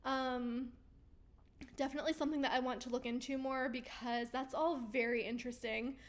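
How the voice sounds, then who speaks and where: A woman's voice: sits high, fairly even intonation, a little fast. A woman hosting a weekly live question-and-answer stream, chatting casually and reading viewer comments aloud while she loses her voice. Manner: chatty and upbeat, with frequent ums and easy laughs. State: losing her voice.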